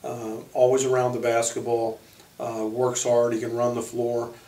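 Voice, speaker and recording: An American man speaking as a basketball coach, informally introducing his team in halting short phrases. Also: mid-range voice, monotone, mellow. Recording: echoey room, distant microphone.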